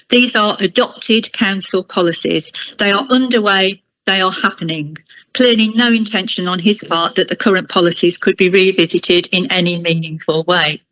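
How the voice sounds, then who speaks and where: A woman delivering a formal prepared address at a council meeting in a deliberate, critical and emphatic manner. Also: mid-range voice, fairly bright tone, no room sound, lo-fi sound.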